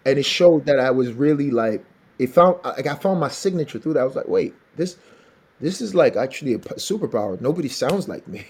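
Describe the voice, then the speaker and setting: A man from Benin, West Africa, who sings for a living and has a distinctly raspy voice. He chats in an animated, storytelling way, laughing often, at an everyday middle pitch. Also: a little fast. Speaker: singer and songwriter guest. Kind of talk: casual interview chat.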